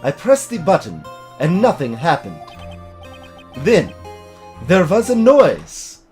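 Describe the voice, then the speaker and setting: A vengeful old man of the law from a courtroom game, acted out by a reader. The delivery is theatrical and seething, slow and swooping in pitch, with faint music behind. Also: wildly swooping pitch, a little mellow. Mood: grim, gloating. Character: vengeful, unhinged old male prosecutor villain confessing.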